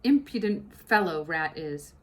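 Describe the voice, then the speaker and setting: A woman's voice: on the low side, lively intonation, a little slow, pitched below the performer's own voice. A woman voicing a clever, cheerful male fox and father in a storybook reading.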